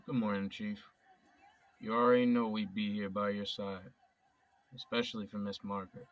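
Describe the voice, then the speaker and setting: An actor playing a young police officer gently consoling his grieving chief. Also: on the low side, level tone, moderate pace, close to an everyday voice. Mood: gentle. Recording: distant lo-fi mic.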